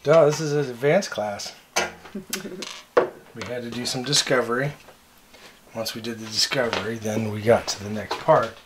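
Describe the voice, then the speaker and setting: A man's voice: mid-range voice, normal speed, long pauses. Middle-aged man, noisy handheld recording. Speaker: DIY bus builder. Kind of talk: casual hands-on chat while working. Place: small converted bus interior. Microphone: camera mic from a short distance. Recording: noisy handheld camera audio with clatter.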